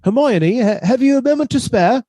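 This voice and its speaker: A man's voice: very high-pitched, expressive, normal speed, dark tone, a little hiss or hum. A man playing a henpecked husband turned murderer in a radio suspense drama, fussy, precise and politely menacing. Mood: nervous, coaxing.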